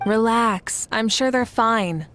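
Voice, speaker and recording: Composed, assured leader. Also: female voice, a little high, slow, a bit bright, faint music behind. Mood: relaxed, welcoming. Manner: reassuring, composed. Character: calm, assured, kindly woman leader. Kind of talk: anime game voice acting.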